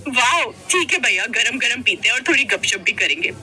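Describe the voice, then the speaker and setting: A woman's voice: fairly high pitch, expressive, normal speed, sharp tone, faint music behind, rough recording. A woman voicing a sweet, gushing young sister-in-law full of excuses in a cartoon story. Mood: cheerful.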